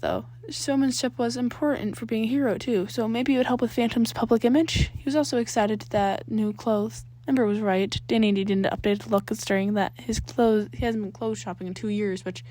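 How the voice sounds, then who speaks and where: A woman's voice: on the high side, moderate pace, warm tone. A woman reading a story aloud as a scripted narrator. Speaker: podfic reader.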